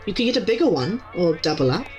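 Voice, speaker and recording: A woman's voice: on the low side, big pitch swings, quick pace, darkish tone, dull audio, faint music behind. A woman playing an easygoing, helpful young clerk of a magic shop in an audio drama, talking casually. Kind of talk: naturalistic audio drama dialogue.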